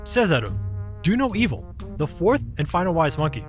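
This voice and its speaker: High-voiced, diplomatic young guide. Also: male voice, big pitch swings, soft background music. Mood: knowing, explanatory. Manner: measured, explanatory. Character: gentle, thoughtful young guide, knowing and diplomatic. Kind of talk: audio drama acting.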